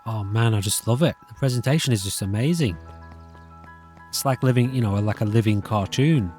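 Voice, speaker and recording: A man's voice: fairly low pitch, normal speed, darkish tone, faint music behind. A man hosting a gaming channel, giving casual, rambling let's play commentary and repeating himself.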